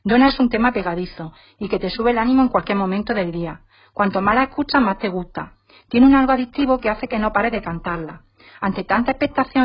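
A woman giving a prepared message as a fan. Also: mid-range voice. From Jaén, Spain. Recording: dull lo-fi voice message.

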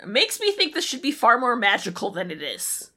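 A woman's voice: a little high, huge pitch swings, normal speed, pushed and bright. A woman co-hosting a podcast in casual comedic chat, sweary and playfully exasperated. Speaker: podcast co-host.

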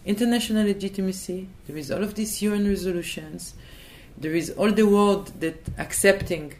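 Woman with a hesitant, halting delivery. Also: deep voice, slight background noise. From Israel. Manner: hesitant, searching for words, many restarts. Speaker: interview guest. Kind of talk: thoughtful conversational interview.

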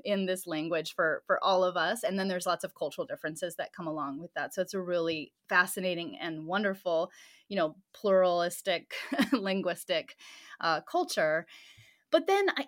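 A woman who is a pastor and scholar, answering interview questions thoughtfully and often laughing lightly between phrases. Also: medium pitch.

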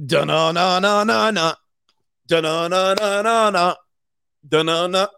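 A man in his forties with a gravelly, slightly high voice, roasting clips in brash, slangy commentary. He laughs often and keeps his delivery loose and mocking. The sound is dry and close. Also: fairly bright tone, no echo. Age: 40s. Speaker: commentary host.

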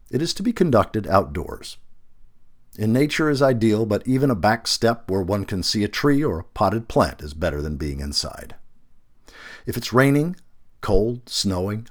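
A man reading a reflective essay aloud as scripted narration, with measured phrasing and deliberate emphasis. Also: deep voice.

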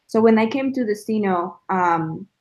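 A woman's voice: mid-range voice, animated, moderate pace, a little mellow. Young woman, earnest reflective guest with a mid-range voice. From El Salvador. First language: Spanish. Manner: earnest, reflective, with frequent fillers. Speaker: guest sharing a faith story. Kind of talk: casual testimony chat.

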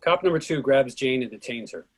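A man narrates a script's stage directions in short declarative sentences. His voice sits in a middle register with a husky, slightly rough grain. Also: medium pitch, animated, fairly quick.

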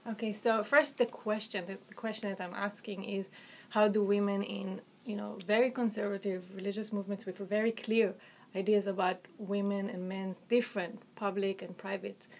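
A woman's voice: medium pitch, little pitch movement, normal speed, darkish tone, a little hiss or hum. Thoughtful Israeli woman explaining her research. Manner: articulate, hedging with um and you know, frequent restarts. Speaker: author and researcher. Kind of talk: academic interview answers.

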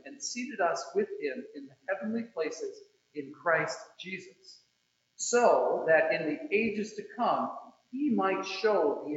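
Echoey, high-pitched man slowly reading scripture. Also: fairly bright tone. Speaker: scripture reader at a church service. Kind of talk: solemn scripture reading with short introductions. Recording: very echoey room, slightly muffled.

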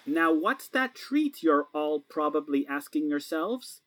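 A man's voice: a little high, very animated, takes it slowly, a bit bright. A man hosting radio in a playful, theatrical, showman-like way, addressing the listeners directly. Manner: theatrical, showman-like, with rhetorical questions and repeats. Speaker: radio show host. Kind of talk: playful scripted radio presenting.

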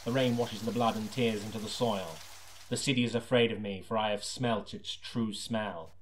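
A man playing a brooding hard-boiled detective, narrating in a grave, deadpan, portentous noir style. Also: lowish voice, moderate pace, slight background noise, close to an everyday voice, low-quality recording. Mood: bleak, brooding.

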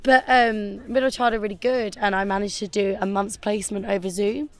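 A young woman, hoarse and gravelly from losing her voice, chatting casually about theatre work with lots of ums and likes. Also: mid-range voice, steady tone, brisk. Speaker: assistant theatre director. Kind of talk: casual podcast interview. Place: theatre venue with music in the background.

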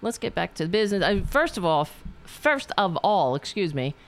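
A woman's voice: on the low side, big pitch swings, normal speed. A woman with a New York accent from Staten Island, hosting a political talk show in a rambling, profane monologue full of restarts. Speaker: podcast host.

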